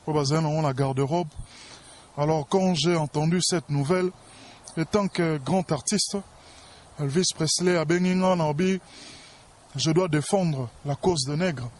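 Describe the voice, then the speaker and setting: A man with a Congolese accent and a slightly high voice holds forth in an interview. He is pompous and boastful, presenting himself as a grand star. There is some noise in the recording. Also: unhurried, darkish tone. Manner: pompous, boastful, self-important.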